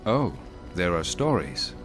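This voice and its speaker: An actor voicing a calm, terse warden hero in a video game. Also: male voice, sits low, takes it slowly, exaggerated voice. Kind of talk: video game dialogue acting. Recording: game music behind.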